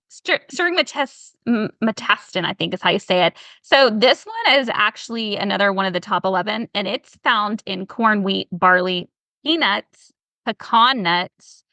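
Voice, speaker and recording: A woman with a Southern US drawl speaks with a bright, forceful edge over a dry, close sound. She talks through health topics in a casual, animated way, often piling up rhetorical questions. Her pitch sits in a middling range. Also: a little slow, no room sound, poor audio. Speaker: health professional guest. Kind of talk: casual podcast conversation.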